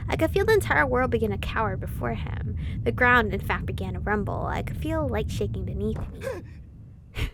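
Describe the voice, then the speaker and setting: A woman's voice: on the high side, moderate pace, creaky, noisy background. A woman hosting a let's-play, reading visual novel dialogue aloud and reacting theatrically with plenty of swearing. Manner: expressive, foul-mouthed, theatrical reactions.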